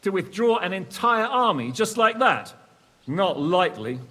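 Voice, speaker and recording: An actor playing a crude, hearty, shrewd medieval war commander in a video game cutscene, speaking emphatically. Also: male voice, sits high, very animated, a little slow, bright, forceful tone, long reverb tail, full character voice. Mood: scornful, amused. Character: boisterous, foul-mouthed medieval war commander, shrewd and gloating.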